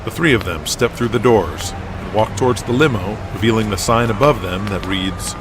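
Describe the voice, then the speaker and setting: A man's voice: fairly low pitch, moderate pace, background noise. A man reading scripted narration for an audio drama. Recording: music bed behind voice. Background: music.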